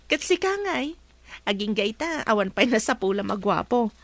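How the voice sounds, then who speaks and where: A woman's voice: on the high side, big pitch swings, moderate pace, fairly bright tone. A woman acting as a possessive, watchful bakery wife in a comedy-drama radio play. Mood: chatty, possessive.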